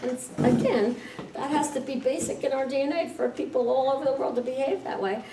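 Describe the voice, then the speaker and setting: A woman's voice: high-pitched, a little fast, mellow. A woman leading a group discussion, speaking earnestly and often voicing agreement. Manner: earnest, encouraging with frequent agreement. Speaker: discussion leader. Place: meeting room with a group. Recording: echoey room, distant mic, faint noise.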